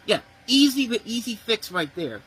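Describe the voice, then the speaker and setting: A man's voice: extremely high pitch, very animated, normal speed, a bit bright, a little hiss or hum, poor audio. A man giving a blunt, profane, exasperated movie review, ranting about what went wrong. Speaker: film reviewer.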